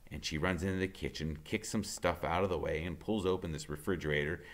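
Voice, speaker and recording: A man reading a novel aloud like a teacher, with expressive, dramatic first-person storybook narration. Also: sits low, fast talker. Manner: animated, dramatic.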